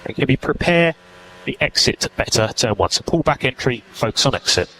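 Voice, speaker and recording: A man's voice: mid-range voice, sing-song, fairly quick, bright, forceful tone. A man working as a race engineer, giving clipped, procedural instructions over team radio. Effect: team radio transmission. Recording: noisy team radio feed.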